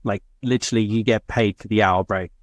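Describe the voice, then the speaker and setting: Laid-back rambling man, deep. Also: steady tone, brisk, no room sound. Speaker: podcast co-host. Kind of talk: casual chat.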